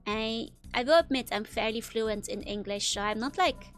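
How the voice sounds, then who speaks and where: A woman's voice: a little high, normal speed, faint music behind. A young woman with a Dutch accent chatting casually while streaming a game, rambling with lots of fillers and giggles. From Netherlands. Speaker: VTuber streamer. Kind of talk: casual gameplay chat reading chat.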